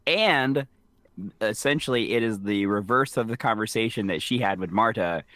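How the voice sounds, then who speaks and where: A man's voice: fairly low pitch, moderate pace, pushed and bright, dry recording, studio quality. A man from the American South co-hosting a podcast in casual, chatty film talk with a wry manner. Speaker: podcast co-host. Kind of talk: casual film recap chat.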